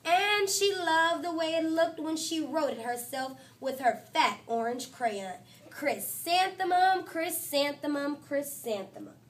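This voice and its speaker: Teacher lady reading a kids book to the class, bit high voice, a little off the mic, really plays up the quotes. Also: unhurried, few pauses, distant mic. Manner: expressive storytelling, voices quotes higher and louder.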